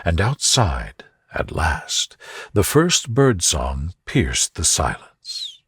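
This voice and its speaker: A man reading a bedtime sleep story in a soft, gentle, soothing manner. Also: deep voice, wildly swooping pitch, very slow, slightly rough, dry recording, clean studio sound. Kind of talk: soothing scripted bedtime narration.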